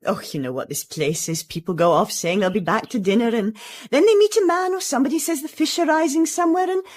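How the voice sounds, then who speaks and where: A woman's voice: high voice, quick pace, a little mellow. An actress playing a nervous, evasive married lady, hesitant and polite, in a radio drama. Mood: forced lightness.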